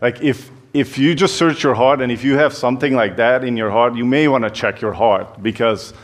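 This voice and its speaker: A man's voice: medium pitch, normal speed. A young man from Hungary with a Hungarian accent, giving a chatty, self-deprecating church talk full of fillers and restarts. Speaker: youth pastor. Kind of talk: church talk, personal story.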